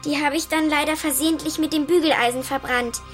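A woman's voice: high-pitched, steady tone, fast talker, natural voice. A female actor playing an eager, earnest young granddaughter in a German children's radio play. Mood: sheepish. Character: young granddaughter Stella, eager, chatty, a little remorseful. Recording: music under the voice.

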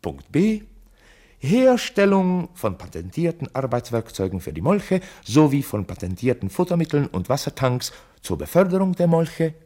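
An actor narrating a German radio drama in the formal manner of someone reading out meeting minutes. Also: male voice, fairly high pitch, very animated, normal speed, warm tone. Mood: formal, animated.

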